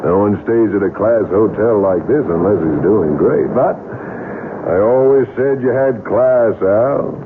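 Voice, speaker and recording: Man playing a low-voiced, taunting gangster, old radio. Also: close to an everyday voice. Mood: mockingly genial. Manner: taunting, drawn-out tough-guy talk. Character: menacing male gangster collector, mockingly genial, coolly threatening. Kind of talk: 1970s radio drama acting. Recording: noisy muffled old radio broadcast.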